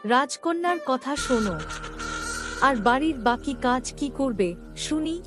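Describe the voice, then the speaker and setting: A woman's voice: sits high, lively intonation, slow, music in the background. A woman voicing a cruel, sneering young stepmother in a cartoon, scolding and sarcastic. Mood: spiteful, mocking. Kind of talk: Bengali horror cartoon voice acting.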